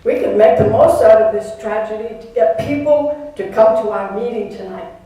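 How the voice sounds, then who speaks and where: A woman's voice: fairly high pitch, moderate pace, highs cut off, natural voice. A woman playing an elderly activist in an echoey room. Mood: busy, resolute. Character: elderly woman activist, forgetful, polite but firm. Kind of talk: readers theater acting. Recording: very echoey room, distant mic.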